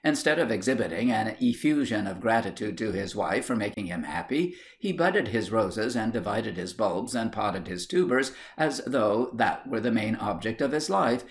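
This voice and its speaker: Man narrating with lively, animated pitch. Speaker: audiobook narrator. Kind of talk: scripted narration of a comic period short story.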